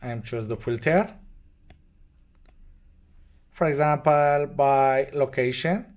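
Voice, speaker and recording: A man who is not a native English speaker gives an unscripted step-by-step product tutorial in short, simple, halting phrases. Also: medium pitch. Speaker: product demonstrator. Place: indoors at a demo bench with devices.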